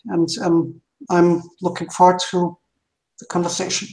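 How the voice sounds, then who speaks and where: A man in his twenties with a German accent, introducing himself as a guest on a panel chat, pausing often between words. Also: high voice, completely flat delivery, a little mellow, roomy sound, rough recording. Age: 20s. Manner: hesitant, many pauses and ums. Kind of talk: casual chat.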